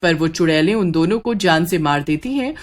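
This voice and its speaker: A woman reading scripted horror narration as a dramatic, measured storyteller. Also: fairly low pitch, animated.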